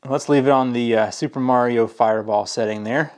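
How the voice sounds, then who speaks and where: A man's voice: medium pitch, steady tone, brisk, heavy background noise. A man explaining plainly, step by step, in a casual hands-on product walkthrough. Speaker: product reviewer. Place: indoors at a work table.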